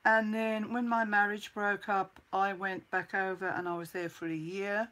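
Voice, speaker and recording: An older woman from Birmingham with an English accent softened by years in New Zealand, chatting casually to her viewers and reminiscing. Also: medium pitch, flat delivery, moderate pace. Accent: English with some New Zealand mixed in. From England (Birmingham). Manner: chatty, reminiscing, repeats herself. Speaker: livestream host.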